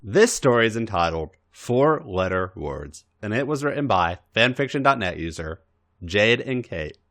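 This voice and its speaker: Male podcast narrator for erotic fan fiction: lowish pitch, hugely varied intonation, music bed. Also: big pitch swings, normal speed, a bit bright. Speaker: podcast host and story reader.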